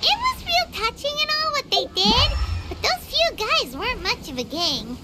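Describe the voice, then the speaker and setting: A woman voicing a tiny, chirpy, childlike fairy companion with a squeaky, fussy manner in a video game. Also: wildly swooping pitch, normal speed, very noisy, heavily acted. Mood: worried, blunt. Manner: speaks of herself in the third person, fussy.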